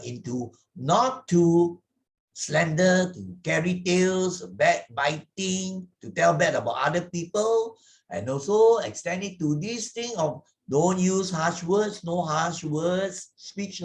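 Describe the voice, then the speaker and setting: A man with a Malaysian accent giving an explanatory moral lesson as a teacher, deliberate and didactic, stressing and repeating key words. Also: on the high side. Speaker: Buddhist Sunday school teacher.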